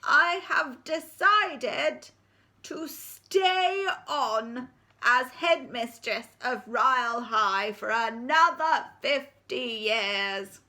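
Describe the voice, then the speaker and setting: A woman voicing a very old, jolly and dotty headmistress who speaks slowly and chortles. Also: fairly high pitch, sharp tone, heavily acted. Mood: announcing.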